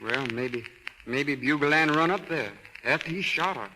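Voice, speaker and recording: An actor playing a devoted grown American country son, careful, soothing and plain-spoken, in 1930s radio drama style. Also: male voice, on the high side, moderate pace, noisy background, natural voice. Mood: soothing, reluctant. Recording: old 1930s radio broadcast, faint music.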